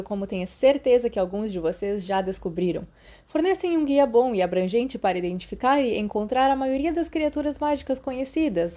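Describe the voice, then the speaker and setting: A woman voicing an enthusiastic male professor in his thirties, addressing a class in a booming, encouraging way in an audiobook. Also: warm tone, natural voice. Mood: hearty welcome. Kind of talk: audiobook character dialogue.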